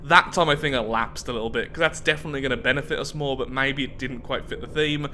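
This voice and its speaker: A man's voice: mid-range voice, quick pace, pushed and bright, a little hiss or hum. A man giving chatty gaming commentary, musing aloud and self-deprecating as he switches between his own thoughts and reading story text. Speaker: host of a game playthrough series.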